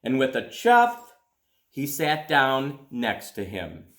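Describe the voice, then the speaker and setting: A man, a school principal, reading a children's story aloud expressively, with dramatic pauses and drawn-out words. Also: mid-range voice, wildly swooping pitch, fairly bright tone, a little hiss or hum. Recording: roomy echo.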